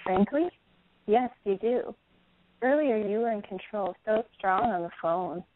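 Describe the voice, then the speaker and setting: An actress playing an anxious, questioning wife in an audio play, speaking hesitantly. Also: female voice, medium pitch, lively intonation, unhurried, natural voice. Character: anxious, questioning wife held by a menacing man. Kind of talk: radio-play style acting. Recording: noisy, tinny phone-like line.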